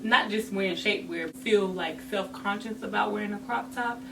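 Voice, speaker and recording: A woman's voice: mid-range voice, moderate pace, gravelly. Casual sassy woman, distant noisy mic. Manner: sassy, emphatic, informal slang. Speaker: host of a fashion advice vlog. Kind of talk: casual chatty advice to camera. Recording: distant mic with noticeable background noise.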